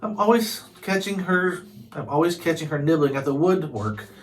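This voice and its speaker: A man's voice: a little high, normal speed, natural voice, poor audio. Man reading as a blunt, skeptical Viking boy. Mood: complaining. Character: Fishlegs, skeptical complaining young Viking boy.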